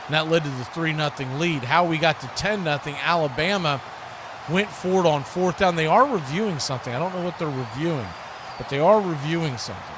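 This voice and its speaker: High-pitched American male sportscaster, noisy audio. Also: fairly quick. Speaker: sports radio host and play-by-play commentator. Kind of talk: live American football play-by-play and casual chat.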